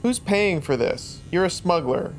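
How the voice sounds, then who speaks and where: A man's voice: expressive, a little slow. A man playing a tabletop roleplaying game, improvising casual dialogue in a blunt, questioning, informal way. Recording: faint rumble and background noise.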